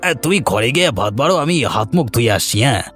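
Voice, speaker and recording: A man's voice: medium pitch, big pitch swings, very fast. A man playing an anxious, placating poor farmer husband in a cartoon, speaking in a pleading, plaintive way. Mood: worried, placating. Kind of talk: cartoon voice acting. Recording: music behind the voice.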